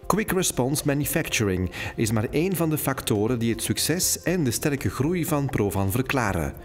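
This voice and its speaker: Male narrator, mid pitch, animated promo read, faint music bed. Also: normal speed. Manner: clear, promotional reading. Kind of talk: scripted corporate narration.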